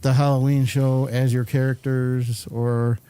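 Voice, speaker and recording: A man with a soft-edged, dark-toned voice of average pitch hosts a casual talk show. He feels his way through questions and remarks with a hesitant, wandering delivery. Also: flat delivery, a little slow, smooth. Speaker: talk show host and interviewer. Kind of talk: casual interview chat.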